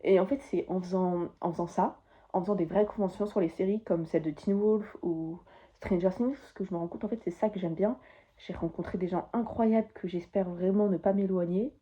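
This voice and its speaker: A woman's voice: fairly low pitch, fairly even intonation, a little fast, mellow. Chatty young woman, dull lo-fi sound. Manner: chatty, rambling, enthusiastic. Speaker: vlogger. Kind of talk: casual chat to camera. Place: her bedroom.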